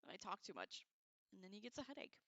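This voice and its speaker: A woman hosting a casual livestream, chatting to viewers in an excitable, giggly way with loose, profane slang. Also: mid-range voice, fast, dry recording. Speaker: livestream host. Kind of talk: casual chat to live viewers.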